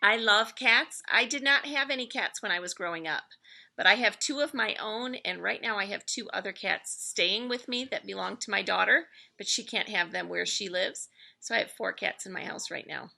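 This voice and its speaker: A middle-aged woman reading a children's story aloud in an expressive, deliberate storytelling manner. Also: medium pitch, creaky. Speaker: elementary school staff member reading aloud to pupils.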